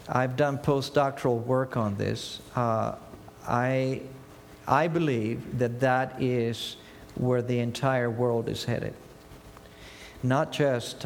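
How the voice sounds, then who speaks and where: A man's voice: mid-range voice, vocal fry, long reverb tail, a little hiss or hum. A man in his fifties from Argentina, with an Argentine Spanish accent, Spanish as his first language, preaching an earnest sermon full of rhetorical questions. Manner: earnest, asks rhetorical questions and answers them.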